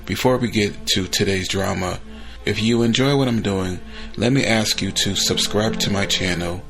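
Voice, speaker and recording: Low, gravelly-voiced podcast host, man. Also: normal speed, music in the background. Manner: friendly, plain requests. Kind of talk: casual channel intro.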